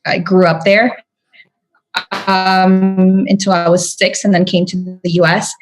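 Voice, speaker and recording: Earnest woman guest, halting speech. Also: mid-range voice, little pitch movement, soft background music, low-quality recording. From Venezuela. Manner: earnest, many fillers and restarts. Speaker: podcast guest, spiritual guide. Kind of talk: casual interview chat.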